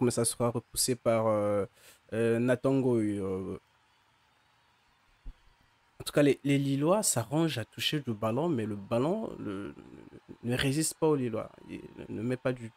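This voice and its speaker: A man's voice: mid-range voice, a little mellow. A man with the flu, his voice not at its best, giving casual live commentary on a football match for his chat, hesitant and full of fillers. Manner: hesitant, many "euh" fillers and restarts.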